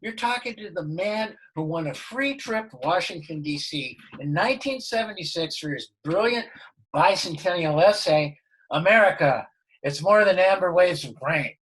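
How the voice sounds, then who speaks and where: A man playing an easygoing, boastful sitcom dad with a husky voice in a comedic table read. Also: high-pitched, lively intonation, normal speed, a bit bright, heavily acted, rough recording. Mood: easygoing, proud. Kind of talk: comedic table read over video call.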